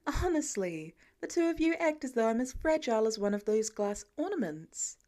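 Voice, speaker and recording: A woman's voice: on the high side, big pitch swings, normal speed, a little mellow. A woman voicing an exasperated, teasing wife in a story, with a wry, firm manner.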